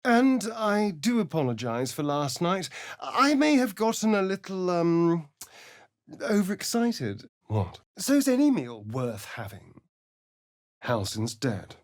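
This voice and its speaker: A man's voice: high voice, very animated, slow pace, heavily acted. An actor playing a sardonic, flirtatious male vampire spawn elf in a video game, with a theatrical, teasing drawl. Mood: sly.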